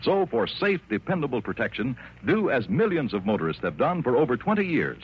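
A man's voice: mid-range voice, sing-song, a little fast, breathy edge. A man reading a vintage radio commercial as an announcer. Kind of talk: 1950s-60s radio advertising read. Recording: dull old radio recording.

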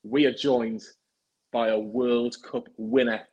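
A man's voice: medium pitch, little pitch movement, slow pace, darkish tone, breathy edge, lo-fi sound. A young man hosting a football podcast, chatty and teasing with rambling asides. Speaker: podcast host.

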